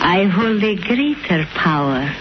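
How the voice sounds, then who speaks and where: Exotic dancer villainess, unhurried, proud, stilted formal speech, muffled noisy 1930s radio drama. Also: female voice, lowish voice, very animated. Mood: defiant, threatening. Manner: stilted formal phrasing, slow, imperious.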